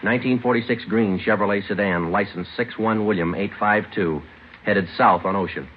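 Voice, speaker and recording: An American actor playing a stoic police detective sergeant, matter-of-fact and terse, in the clipped style of old radio drama. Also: male voice, fairly low pitch, moderate pace, noisy background, natural voice. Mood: businesslike. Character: stoic, businesslike male police detective sergeant who narrates. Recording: tinny old radio broadcast, music behind.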